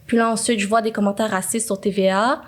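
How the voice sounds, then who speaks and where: A woman's voice: medium pitch, steady tone, quick pace. A young woman from Quebec with a Quebec French accent, speaking earnestly and steadily in a casual conversation. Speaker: guest.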